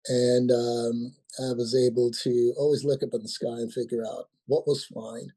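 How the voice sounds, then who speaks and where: A man around sixty, from Nigeria, talking as an interview guest and often pausing with ums and restarts. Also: medium pitch, little pitch movement, mellow, slightly rough, rough recording. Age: about 60. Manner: hesitant, many ums and restarts. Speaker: guest, company founder. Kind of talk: casual interview talk.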